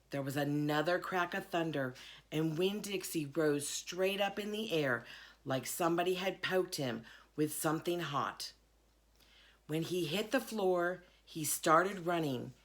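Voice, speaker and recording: A woman reading a children's novel aloud in an expressive storytelling manner. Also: low voice. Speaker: reader, school board member.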